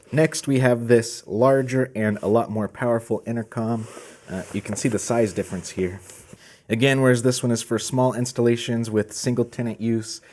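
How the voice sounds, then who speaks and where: A man with a fairly low, mellow voice hosts a casual product unboxing. His tone is soft-edged and relaxed as he presents each item in an informal explanatory style. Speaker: host of a product channel.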